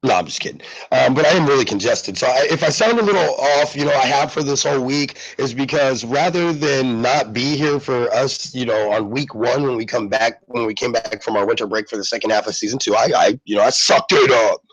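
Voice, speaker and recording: A man's voice: mid-range voice, fairly quick, hardly any pauses, breathy edge, no room sound, lo-fi sound. A man hosting a casual live radio show, congested, rambling and repeating himself with many fillers. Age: adult parent.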